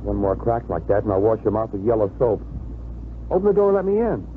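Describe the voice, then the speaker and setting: A confident young fiancé in a vintage radio drama, bantering and stubborn. His medium-pitched voice swings expressively with wry teasing. The recording is thin and muffled, with faint hiss. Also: very animated, fast talker. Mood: teasing, insistent. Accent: American. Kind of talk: 1950s radio drama acting.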